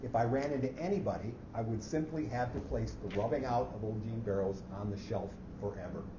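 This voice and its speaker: A man's voice: medium pitch, a little fast, close to an everyday voice. A man playing a fussy, precise office clerk who coolly plots murder, speaking formally in a staged story reading. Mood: scheming. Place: echoey hall at a live reading. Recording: muffled, noisy, echoey hall recording.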